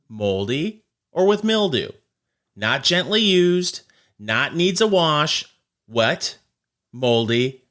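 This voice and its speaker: A man's voice: fairly high pitch, bright, forceful tone. A man hosting a scripted comedic news monologue, with a wry, sarcastic manner and punchy, emphatic phrasing. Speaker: host of a short comedic news commentary show.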